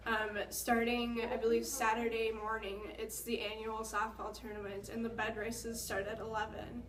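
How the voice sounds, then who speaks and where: A woman's voice: fairly high pitch, little pitch movement, normal speed, echoey room, far from the mic, low-quality recording. A teenage girl, a local ambassador, chatting through events in an interview, with frequent fillers. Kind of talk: casual interview.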